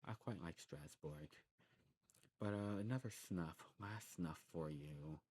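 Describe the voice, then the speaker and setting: Very deep-voiced man, rambling shop chat. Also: measured pace, darkish tone, slight background noise. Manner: rambling, informal, self-correcting explanations. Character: friendly demon snuff shop keeper, knowledgeable and enthusiastic. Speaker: ASMR performer playing a snuff shopkeeper. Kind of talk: ASMR shop roleplay, casual recommending chat.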